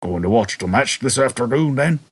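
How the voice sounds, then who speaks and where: A man's voice: mid-range voice, animated, fairly quick, husky, no echo, voice pushed up. A man voicing a dignified old male barman in an audiobook, deferential and chatty. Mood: politely chatty. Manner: deferential, chatty small talk.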